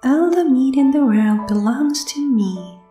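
A woman's voice: high-pitched, expressive, unhurried, dark tone, soft background music. A woman voicing a haughty, greedy young fiend in a comic dub, with a boastful, childish and imperious manner. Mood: smug. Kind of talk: fan comic dub, exaggerated anime acting.

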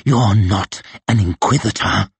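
A man voicing a bitter, crippled male torturer who talks in a low, quiet, cutting way. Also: sing-song, measured pace, slightly rough, dry recording. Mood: menacing.

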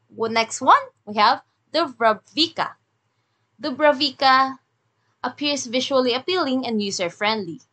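A woman's voice: on the high side, fairly bright tone. Chatty woman reviewing websites. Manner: chatty, reads script then ad-libs with fillers. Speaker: web design reviewer. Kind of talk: screen-share website review.

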